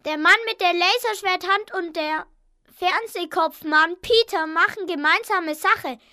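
Child acting male secret agent, very high bright voice, resolute, declamatory, dry radio play sound. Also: a little slow, dry recording, full character voice. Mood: excited. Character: Otto, excited male secret agent, resolute and self-assured.

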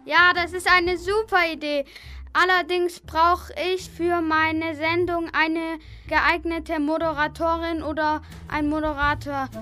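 Child playing a slow, very high TV-headed henchman. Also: flat delivery, sharp tone, faint music behind, exaggerated voice. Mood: plotting, pondering. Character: scheming henchman with a television for a head. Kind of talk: amateur children's radio play acting.

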